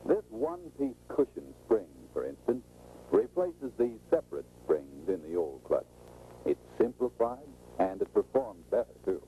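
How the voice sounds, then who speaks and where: A man's voice: fairly low pitch, sing-song, unhurried, phone-quality sound, a little hiss or hum. A middle-aged American man giving scripted, instructive, salesmanlike narration in the style of a 1940s training film, on an old film soundtrack. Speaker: automotive expert presenting a sales training film.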